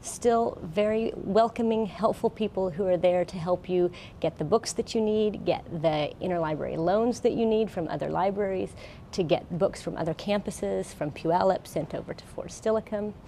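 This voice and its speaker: A woman's voice: mid-range voice, moderate pace, mellow, slight background noise. An American woman giving an informal, plain-spoken explanatory presentation as a college librarian. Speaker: college librarian, guest speaker.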